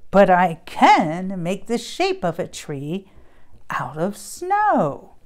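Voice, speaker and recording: A kind little farm pig speaks earnestly and slowly, thinking out loud, as a woman reading a storybook puts him on. His voice is soft and low-key. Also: huge pitch swings, exaggerated voice. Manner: earnest, thoughtful.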